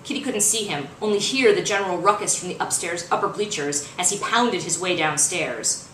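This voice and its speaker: A woman reading fiction aloud as its author, in expressive, dramatic narration. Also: lowish voice, fairly quick. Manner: dramatic, acts out dialogue. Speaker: author reading their own novel. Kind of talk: expressive audiobook-style narration. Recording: roomy, distant mic, faint noise.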